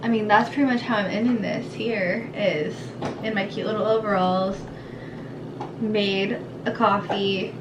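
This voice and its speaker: A woman's voice: mid-range voice, fairly even intonation, measured pace. A young woman chatting casually in a vlog, rambling and informal, recorded indoors at home. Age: young adult. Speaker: vlogger and mother of a young child. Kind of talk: casual vlog chat to camera. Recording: echoey room, clearly noisy background.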